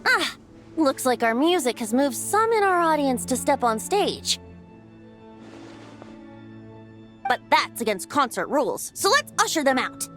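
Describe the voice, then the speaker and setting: A woman's voice: high-pitched, sing-song, moderate pace, a bit bright. A woman voicing a youthful male wind god bard in a video game, playful yet earnest, with theatrical, poetic delivery. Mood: playfully commanding. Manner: lyrical, theatrical, poetic phrasing. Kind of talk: scripted video game voice acting. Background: game music.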